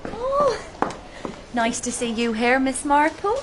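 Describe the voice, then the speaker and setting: A deferential housemaid in a radio play, fairly high-voiced and chirpy. She is polite, grateful and a little fussing, with clear noise behind her. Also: very animated, slow, full character voice. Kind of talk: radio drama acting.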